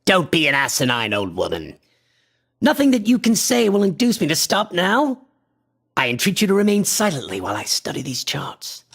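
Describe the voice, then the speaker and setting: An actor playing an obsessed, imperious antiquarian in a radio horror drama, breathy and husky with grandiose, formal delivery that often sinks toward a whisper. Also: male voice, sits high, wildly swooping pitch, a bit bright, exaggerated voice. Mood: impatient. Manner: grandiose, deliberate, formal diction. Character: obsessed, imperious male antiquarian experimenting with a mystical drug. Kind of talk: theatrical radio horror acting.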